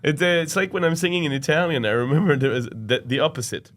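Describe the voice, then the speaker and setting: A man, an opera singer and podcast guest, chatting casually and telling lively stories, often laughing and mimicking other people. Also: on the high side, quick pace. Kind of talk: casual chat.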